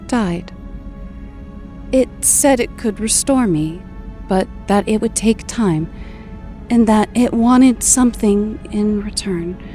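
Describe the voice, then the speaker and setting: A woman playing a bewildered young mutant woman just woken from a coma, speaking haltingly in an audio drama. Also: mid-range voice, dark tone, lightly acted. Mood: shaken. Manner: halting, dazed delivery.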